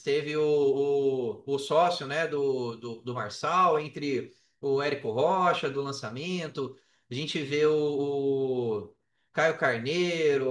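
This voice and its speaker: A man's voice: on the high side, a bit bright. A Brazilian man telling personal stories casually as a podcast guest, often repeating words and checking in with the listener. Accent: Brazilian Portuguese. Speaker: podcast guest, affiliate marketer. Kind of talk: casual personal storytelling in an interview. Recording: echoey room, distant from the mic.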